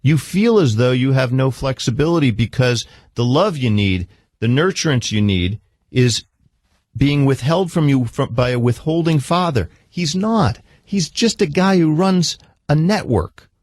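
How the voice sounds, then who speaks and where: A man speaks as a radio therapist in a mid-pitched voice. He probes and explains insistently, cutting in to steer the conversation. The sound is dry, with little room to it. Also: expressive, a little slow, darkish tone, no echo. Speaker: psychotherapist hosting a radio counselling segment. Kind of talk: probing therapy talk on radio.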